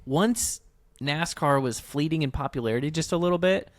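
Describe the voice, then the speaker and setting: A man co-hosting a podcast in casual discussion, halting as he thinks aloud and checking in with his listener. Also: fairly high pitch, dry recording. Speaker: podcast co-host.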